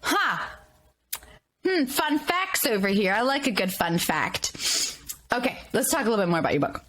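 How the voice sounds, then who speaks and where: A woman hosting a casual chat in an animated, informal and wry way. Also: fairly high pitch, normal speed. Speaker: podcast host. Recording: clear background noise, mouth clicks.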